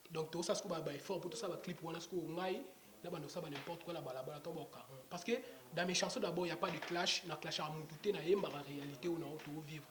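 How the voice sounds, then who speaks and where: A man's voice: on the high side, steady tone, quick pace, crowd noise. A young Congolese man, a rapper, talking casually in an interview, rambling with lots of fillers and restarts. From Democratic Republic of the Congo. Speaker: rapper guest. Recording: echoey room with clear background noise.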